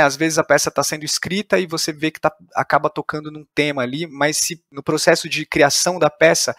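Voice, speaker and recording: Higher-voiced Brazilian man interviewing, dry close sound. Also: fairly bright tone, no echo. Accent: Brazilian Portuguese. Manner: tentative, builds long roundabout questions. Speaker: podcast host, interviewer. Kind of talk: conversational interviewing.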